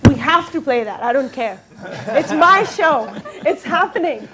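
A woman's voice: sits high, expressive, crowd noise. A young Pakistani woman whose first language is Pashto, hosting a morning TV talk show with a bubbly manner and frequent laughter. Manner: bubbly, laughs often, teaches words slowly. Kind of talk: casual TV interview. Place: TV studio set.

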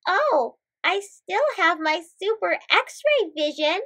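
A woman puts on an eager little boy superhero from a storybook. The voice is very high and swings widely, excitable and dramatic. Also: slow pace, exaggerated voice.

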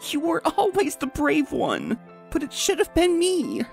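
A gentle female cartoon unicorn, voiced by a woman, speaks in a high, youthful, pleading voice. She is earnest and heavy with guilt, with lively swings in pitch over faint music. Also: measured pace, vocal fry, full character voice. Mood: guilty, earnest. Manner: earnest, apologetic. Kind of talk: comic dub character acting.

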